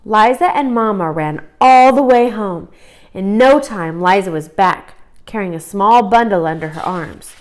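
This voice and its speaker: A woman narrating a children's storybook in an expressive read-aloud manner. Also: mid-range voice. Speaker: storybook reader.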